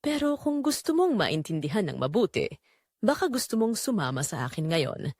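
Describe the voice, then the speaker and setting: A mysterious Filipino woman with secrets, put on by a woman reader. She is coaxing and insinuating, with animated intonation in a dry audio drama recording. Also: mid-range voice, moderate pace, no echo.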